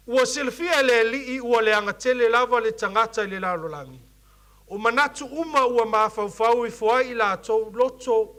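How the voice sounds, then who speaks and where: A man with a Samoan accent, a youth leader preaching a sermon who also reads scripture, often pausing and restarting as he searches for English words. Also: high-pitched, fairly bright tone. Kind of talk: bilingual sermon with scripture reading.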